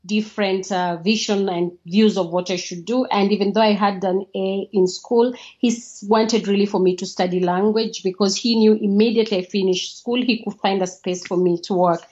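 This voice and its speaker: A woman's voice: medium pitch, steady tone, normal speed, few pauses, a little mellow, highs cut off. A woman from Kenya, a guest on a podcast, talking casually with laughs and many uhs. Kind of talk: casual interview.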